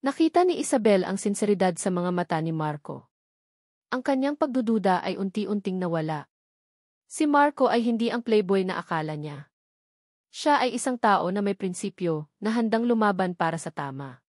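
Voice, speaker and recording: A woman's voice: medium pitch, animated, normal speed, darkish tone, no echo, clean studio sound. A woman reading a scripted dramatic story as its narrator, voicing the dialogue within the narration.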